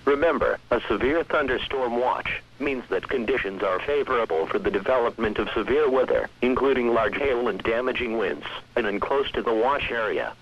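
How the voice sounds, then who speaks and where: Synthetic male weather radio announcer, American, mid-pitched, impersonal scripted read, dull broadcast sound. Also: expressive. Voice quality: synthetic text-to-speech voice. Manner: measured, impersonal. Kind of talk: scripted weather bulletin reading.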